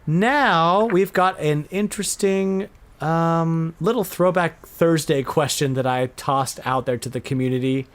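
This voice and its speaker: A man's voice: fairly high pitch, unhurried. A middle-aged man chatting casually as the host of a podcast. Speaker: podcast host and retro game store owner.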